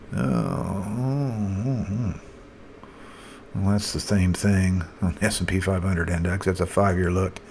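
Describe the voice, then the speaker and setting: A man presenting a casual, unscripted chart commentary as a market analyst, thinking aloud in a halting way. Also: sits low. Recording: noticeable background noise.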